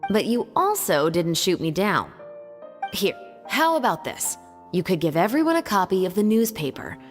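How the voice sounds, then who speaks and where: Cool, dry, expressive patrol captain. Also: female voice, on the low side, normal speed. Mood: sly, teasing. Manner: casual, dry, understated. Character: cool, sardonic female special patrol captain. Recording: long reverb, music underneath.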